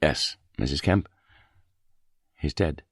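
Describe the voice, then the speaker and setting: A man doing a quiet, gentle police sergeant. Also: extremely low pitch, animated, takes it slowly, husky, no room sound, studio quality, voice pushed down, full character voice. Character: patient, sympathetic police sergeant, middle-aged man.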